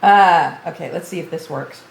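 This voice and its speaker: An older woman streaming a video game tutorial, chatty and thinking aloud as she plays, her voice going a bit hoarse. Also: low voice, moderate pace, echoey room, a little hiss or hum. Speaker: gaming streamer. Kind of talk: game tutorial livestream commentary.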